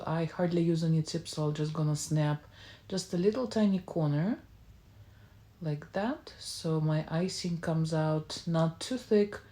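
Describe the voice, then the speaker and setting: A woman's voice: low voice, dark tone. A woman hosting a baking tutorial, narrating each step casually with informal, slightly non-native English phrasing. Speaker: baking channel host.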